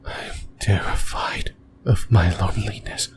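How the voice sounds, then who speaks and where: A lonely, possessive demon whispers at your ear, voiced by a man, breathy and very low. He creeps through each phrase slowly and deliberately, leaving long pauses. It is an eerie, yearning ASMR roleplay monologue. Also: fairly even intonation. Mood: desolate, yearning.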